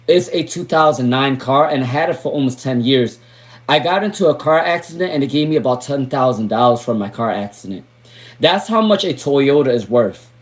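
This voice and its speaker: Raspy chatty man reading tarot. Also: a little high. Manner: chatty, drifts into asides and sung snatches. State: voice worn from talking all day. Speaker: tarot reader. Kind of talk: live-stream tarot reading.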